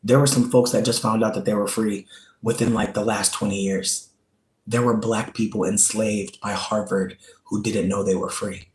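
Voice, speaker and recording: A man's voice: on the low side, fairly even intonation, moderate pace, a little mellow. Earnest man talking in an echoey room. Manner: earnest, repetitive, pleading. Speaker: channel host. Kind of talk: direct-to-camera commentary.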